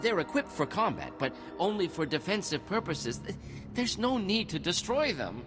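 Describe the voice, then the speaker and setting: An actor playing a proud, arrogant scientist in a video game, formal and defensive, stammering when flustered. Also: male voice, high-pitched, sing-song, moderate pace. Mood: nervous, defensive. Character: brilliant, arrogant male droid scientist, defensive and unrepentant. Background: game music.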